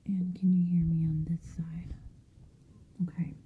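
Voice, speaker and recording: A woman whispering softly and breathily in an ASMR medical roleplay, gently giving reassuring step-by-step instructions close to the mic. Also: lowish voice, little pitch movement, unhurried, narrow, tinny audio, a little hiss or hum. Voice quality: soft, breathy, mostly unvoiced whisper. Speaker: ASMR creator playing a hearing examiner.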